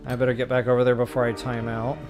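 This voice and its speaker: A man's voice: medium pitch, completely flat delivery, fast. A man giving a casual, folksy gameplay walkthrough, explaining things informally and thinking aloud as he plays. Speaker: game walkthrough host. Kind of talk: casual gameplay commentary, explaining as they play. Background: game music behind the voice.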